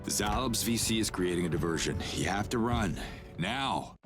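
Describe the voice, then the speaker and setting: A male actor as a blunt, wry soldier hero from a video game. His voice sits very low and moves in animated swoops. Loud music plays behind his words. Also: moderate pace, close to an everyday voice. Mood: urgent. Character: rugged human hero, blunt, wry soldier helping villagers. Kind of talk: video game cutscene acting.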